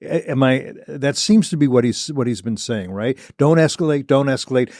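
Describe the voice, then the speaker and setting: A man's voice: mid-range voice, moderate pace, darkish tone, no echo, clean studio sound. An older man hosting a policy discussion, arguing his view with stammering restarts and mock quotes of others. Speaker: host.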